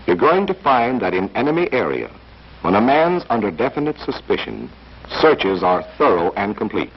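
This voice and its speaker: A man's voice: lowish voice, husky. A man narrating a 1940s training film in a dramatic, hard-boiled scripted style, as if talking to the character. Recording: muffled, faintly hissy 1940s film soundtrack.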